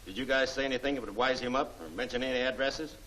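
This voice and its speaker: An actor playing a shrewd, bossy gangster lieutenant in a 1940s film serial, curt and hard-nosed. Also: male voice, mid-range voice, quick pace, fairly bright tone, close to an everyday voice. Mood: commanding. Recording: noisy old film soundtrack, distant mic.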